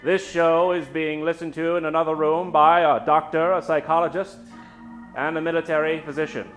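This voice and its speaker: A man hosting and narrating an old-time radio spoof on stage, with a theatrical, mock-ominous delivery full of dramatic pauses. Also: fairly high pitch, a bit bright. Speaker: host and narrator of a radio show. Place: theater stage before an audience. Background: live keyboard music.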